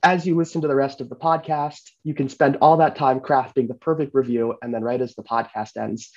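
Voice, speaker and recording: A man hosting a podcast, talking in a relaxed, chatty way. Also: mid-range voice, fairly quick, dull audio. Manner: relaxed, chatty, uses fillers. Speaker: podcast host. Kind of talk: casual podcast chat.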